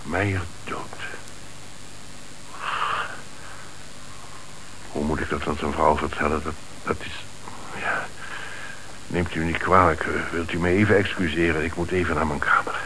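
A hissy old radio drama. a troubled bank manager with a very low voice. He speaks formally and hesitantly, as a lenient boss answering the police. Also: steady tone, normal speed. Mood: shocked. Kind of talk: radio play acting.

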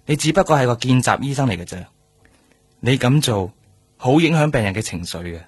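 A stern, husky senior doctor. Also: male voice, medium pitch, lively intonation, a little fast, no room sound, soft background music. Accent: Hong Kong Cantonese. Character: stern senior male hospital doctor reprimanding a trainee. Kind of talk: radio drama acting.

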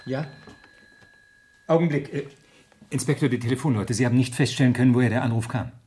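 Male actor as junior policeman, rapid delivery, eager, hurried, medium pitch, radio crime drama. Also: a little mellow, close to an everyday voice. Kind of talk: 1970s German radio crime drama acting.

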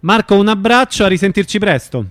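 A man's voice: high voice, animated, a little fast, fairly bright tone, no room sound. A man hosting a conversational live radio interview.

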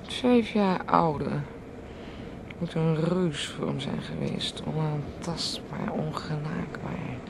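An actress playing a swimmer's anxious, needling wife in a radio play, halting and repeating her phrases pointedly. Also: deep voice, creaky, exaggerated voice. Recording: noisy old radio play recording.

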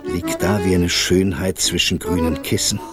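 A man's voice: sits low, little pitch movement, normal speed, over music, lightly acted. A man narrating a radio fairy tale as a theatrical, lyrical, wistful storyteller who lingers on his phrases. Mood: tender, lyrical.

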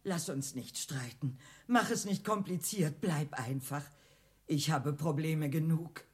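A woman's voice: low-pitched, takes it slowly, a little mellow. A woman playing a firm, theatrical lady who resists and flirts with a man in a radio play. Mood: defensive, firm.

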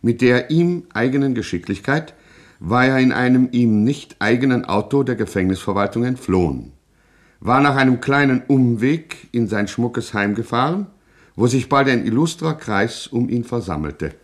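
A man's voice: mid-range voice, lively intonation, normal speed. Male narrator for radio satire: mid-range voice, ironic, literary, mock-solemn.

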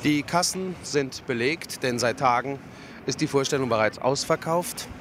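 A male radio reporter with a mid-pitched voice reporting on location. He speaks in measured phrases, with clear street and vehicle noise behind him. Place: on location outside a theatre.

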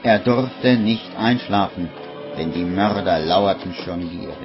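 A man acting as grave narrator of a dark tale in a 1950s radio drama, dramatic and suspenseful. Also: low-pitched, a little slow. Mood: ominous. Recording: muffled vintage radio recording with music.

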